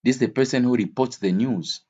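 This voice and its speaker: A man's voice: medium pitch, brisk, warm tone, muffled recording. A man teaching an English vocabulary lesson in a didactic way, repeating key words and switching between languages.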